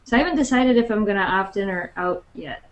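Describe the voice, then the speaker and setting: A woman's voice: mid-range voice, animated, fast talker, dull audio. A woman video creator chatting casually as a panelist and explaining her own routine. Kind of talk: casual chat.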